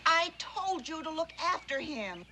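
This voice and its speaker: High-voiced protective frontier mother, noisy background. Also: sing-song, unhurried, pushed and bright, full character voice. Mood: worried, reproachful. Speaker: actor in a TV western series. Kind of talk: 1960s TV western drama acting. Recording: noisy outdoor ambience on old soundtrack.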